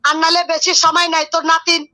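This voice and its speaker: A woman, a self-styled tantric healer who takes a call and gives brisk, commanding orders. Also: extremely high pitch, level tone, noisy background. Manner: brisk and commanding, talks down to the caller. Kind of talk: phone consultation.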